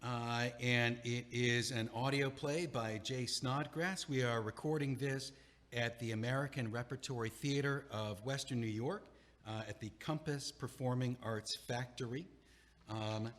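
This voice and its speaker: Casting: man narrating stage directions, mid pitch, theater echo. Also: steady tone, measured pace, fairly bright tone. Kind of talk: reading stage directions aloud. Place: performing arts theater.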